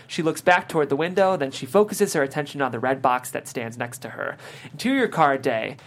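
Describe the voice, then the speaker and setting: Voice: mid-range voice, animated, fairly quick. A narrator reading the scene headings and action lines of a screenplay as scripted narration. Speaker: narrator reading stage directions.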